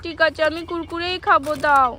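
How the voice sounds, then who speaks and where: A woman's voice: sits high, fairly even intonation, brisk, background noise, lightly acted. A woman voicing a whiny, insistent little village child doll in a pretend-play story. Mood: sulky agreement. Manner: whining, insistent.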